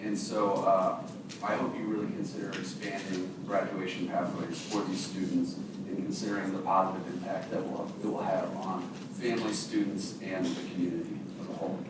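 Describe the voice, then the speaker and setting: A man's voice: deep voice, level tone, brisk. A man speaking at a board meeting as a community organization's chief of staff, hesitant with many fillers. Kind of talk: public comment at a board meeting. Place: large meeting room. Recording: echoey hall, far from mic, loud noise.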